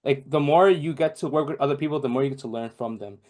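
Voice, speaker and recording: A man's voice: medium pitch, fast talker, darkish tone. A young man from Bangladesh, a podcast guest in casual chat, rambling with many fillers and restarts. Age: young adult.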